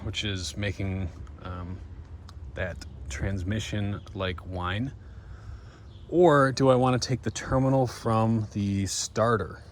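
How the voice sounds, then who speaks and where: A man's voice: fairly low pitch, slight background noise. A man giving a casual, informal hands-on narration to viewers, thinking aloud as he works on a vehicle. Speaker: DIY car vlogger and host. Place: in and around a van.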